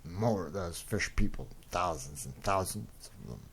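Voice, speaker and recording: Man as a blunt, low-voiced robot soldier. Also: animated, moderate pace, fairly bright tone, full character voice. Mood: alarmed resolve. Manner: taunting, blunt. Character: gruff, defiant robot soldier, mocking and impatient. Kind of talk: dramatic comic-book dub. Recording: clearly noisy recording.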